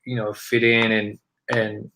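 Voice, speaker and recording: A young Australian-accented man, raised speaking Punjabi, reflects in a relaxed interview. His voice sits low but comes across bright and forward. He keeps a very flat, even melody while he rambles through his thoughts. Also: unhurried, low-quality recording. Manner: reflective, rambling with frequent "you know" and restarts. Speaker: guest musician. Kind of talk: casual interview chat.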